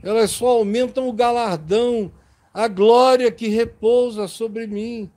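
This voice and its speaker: A man's voice: very high voice, fairly even intonation, unhurried, darkish tone. A man preaching a sermon in an impassioned, emphatic way.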